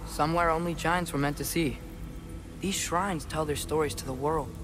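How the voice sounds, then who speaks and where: Young man playing an earnest, higher-voiced teenage boy. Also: steady tone, normal speed, lightly acted. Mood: eager, explaining. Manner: earnest, explaining eagerly. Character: earnest, eager teenage boy, persuasive and headstrong son. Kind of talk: dramatic video game acting. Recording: clear music behind the voice.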